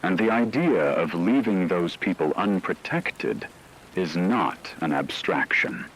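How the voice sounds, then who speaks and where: A man playing a grave radio announcer, reading scripted news in a measured, formal way. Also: low-pitched, expressive, slight background noise, rough recording. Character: grave male radio announcer reporting on global collapse.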